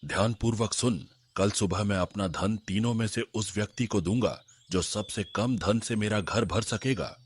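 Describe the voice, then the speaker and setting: A man voicing an elderly landlord father in a story cartoon, husky, measured and commanding. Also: on the low side. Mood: instructing.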